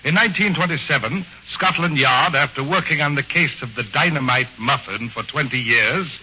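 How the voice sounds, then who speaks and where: A man's voice: medium pitch, normal speed, bright, forceful tone. A man narrating a 1940s radio comedy sketch in a grandiose, melodramatic manner. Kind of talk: mock-dramatic radio narration. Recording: dull old radio broadcast, faint hiss.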